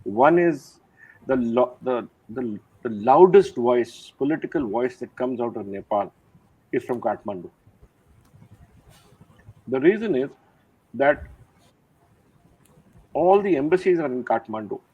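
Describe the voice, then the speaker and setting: Older general on a thin call line. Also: male voice, mid-range voice, lively intonation, breathy edge. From India. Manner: measured, reflective, many "uh" pauses. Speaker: retired army general, guest. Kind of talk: interview conversation. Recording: thin phone-like line, dry.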